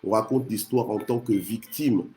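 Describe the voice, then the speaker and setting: Mellow-voiced male preacher from Congo. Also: on the low side, moderate pace, soft background music. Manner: punctuates speech with short exclamations of praise. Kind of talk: devotional sermon on scripture.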